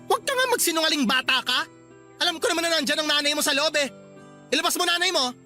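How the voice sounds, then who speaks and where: Man acting a sharp, high-pitched cruel landlord. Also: expressive, fast talker, soft background music, full character voice. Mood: irritated, accusing. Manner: loud, accusing, scornful. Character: angry, cruel, superstitious male landlord bullying a child. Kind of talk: radio drama acting.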